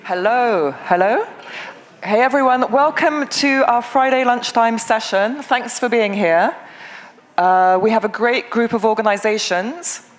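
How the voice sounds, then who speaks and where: A woman from England, moderating a conference panel in a brisk, friendly way with frequent ums. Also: on the high side. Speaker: session moderator.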